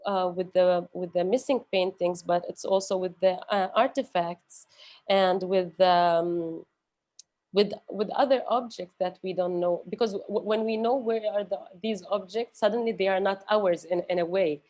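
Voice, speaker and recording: A woman's voice: medium pitch, steady tone, moderate pace, darkish tone. A woman giving an unscripted, reflective talk about research, hesitant and thoughtful as she searches for words. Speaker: guest speaker, researcher.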